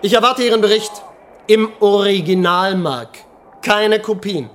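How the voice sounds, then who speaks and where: Bossy stern superior, high loud voice, clipped orders, faint noise behind. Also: male voice, measured pace. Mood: commanding. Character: curt, commanding male superior giving orders. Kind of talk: radio crime drama acting.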